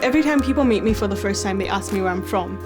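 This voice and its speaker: A woman's voice: mid-range voice, very fast, over loud music. A young woman from Zambia, a student, speaking candidly in a documentary voice-over, often saying "like". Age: young adult. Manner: candid, conversational, filler "like". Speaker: university student.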